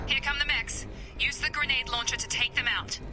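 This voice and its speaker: An actress plays a commanding female project director in a video game. Her voice sits fairly high and she speaks crisply, like someone in charge. Loud music plays behind her. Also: steady tone, normal speed, natural voice. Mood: urgent, commanding. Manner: urgent, crisp, instructing. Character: commanding woman project director guiding a soldier by radio.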